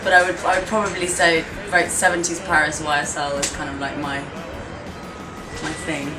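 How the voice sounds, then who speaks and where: A woman's voice: sits low, little pitch movement, normal speed. A woman in her twenties, originally from Turkey with Turkish as her first language, talking casually and hesitantly about her gallery in an interview, with many fillers. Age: 20s. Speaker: gallery owner. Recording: echoey room, mic at a distance, music behind.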